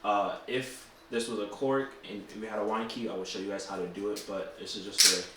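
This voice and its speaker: A man co-hosting a podcast, calmly chatting and explaining how to present a wine. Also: fairly low pitch, a little fast, heavy background noise. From Haitian and Cape Verdean family. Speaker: podcast co-host. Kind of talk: casual chat, presenting a wine. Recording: echoey room, mic far off.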